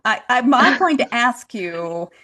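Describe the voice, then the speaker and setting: A middle-aged woman hosting an interview, speaking politely and deliberately with a deaf accent. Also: fairly high pitch, sing-song, sharp tone. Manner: slow, deliberate, polite, with frequent laughs. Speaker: podcast host. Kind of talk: casual interview.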